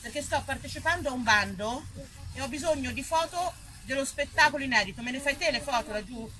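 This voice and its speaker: Woman, high bright voice, stage monologue, informal, digressive, light background noise. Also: fairly quick, rough recording. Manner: informal, digressive, repeats phrases for effect. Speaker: stage performer addressing the audience. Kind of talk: conversational theatrical monologue.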